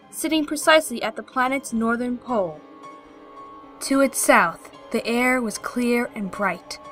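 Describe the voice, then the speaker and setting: A woman's voice: on the high side, sharp tone. A woman reading scripted, descriptive fantasy narration for an audio play.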